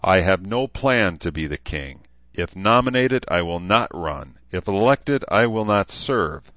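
A man voicing a thoughtful, principled leader who speaks in a measured, earnest way. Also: deep voice, expressive, fairly bright tone, no room sound, lo-fi sound.

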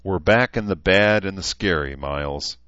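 A man voicing a thoughtful, principled leader who speaks in a measured, earnest way. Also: low voice, a bit bright, clean tone, no room sound, highs cut off.

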